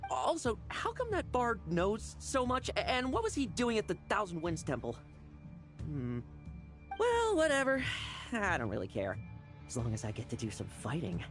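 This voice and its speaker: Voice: mid-range voice, wildly swooping pitch, normal speed. Swooping, cocky young battle-lover. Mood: breezy, eager. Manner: casual, musing to self. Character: cocky, battle-hungry young fighter, breezy and blunt. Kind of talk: scripted fantasy game dialogue. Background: music.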